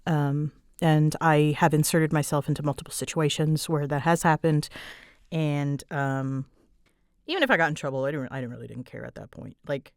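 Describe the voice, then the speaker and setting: A woman's voice: low voice, moderate pace, dry recording, studio quality. A woman host rambling casually to her audience, with a bouncy, dramatic, giggly and self-deprecating way of talking. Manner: animated, bouncy, dramatic storyteller delivery. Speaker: voice actress and host answering audience questions.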